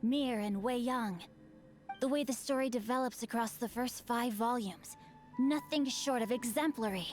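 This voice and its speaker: A refined, clever teenage boy swordsman, voiced by a woman, speaks in a composed, formal, eloquent manner. His voice sits a little high. Faint game music plays beneath. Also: moderate pace, lightly acted.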